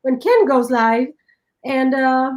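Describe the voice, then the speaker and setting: A woman with a foreign accent chatting casually on a live stream, excitable and quick to laugh, often restarting her sentences. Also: high voice. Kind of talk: casual chat.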